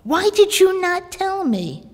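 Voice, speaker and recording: A woman reading aloud plays a proud, hot-tempered young warrior man of the desert. He bursts out in startled questions and sharp commands, his voice trailing into a long hall echo. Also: extremely high pitch, sing-song, slow, exaggerated voice.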